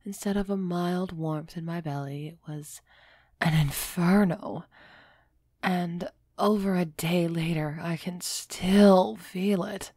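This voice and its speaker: An aloof female vampire of noble bearing, acted by a woman for an audio roleplay. Her voice sits fairly low and she takes her time over every phrase. The sound is very dry and intimate, with a haughty, musing manner that hints at a softening heart. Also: steady tone, takes it slowly, no echo. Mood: pensive. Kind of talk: scripted audio roleplay acting, close and soft.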